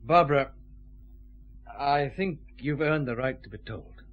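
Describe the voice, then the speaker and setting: Guy acting scottish police chief inspector, radio play, calm n in charge, medium voice. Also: medium pitch, unhurried, narrow, tinny audio, close to an everyday voice. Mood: grave, gentle.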